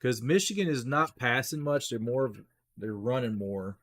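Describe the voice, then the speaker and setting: A man hosting a casual live play-by-play stream, his voice rough, clearing his throat, talking straight to viewers and rising on big plays. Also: medium pitch, moderate pace. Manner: chatty, talks straight to viewers, rises excitedly on big plays. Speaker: livestream play-by-play host.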